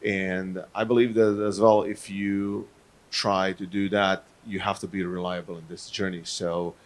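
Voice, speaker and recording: Deep flat-voiced man, halting. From Croatia. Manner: halting, many fillers, non-native phrasing. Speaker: panelist, fintech marketer. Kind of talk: live panel introduction. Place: conference stage.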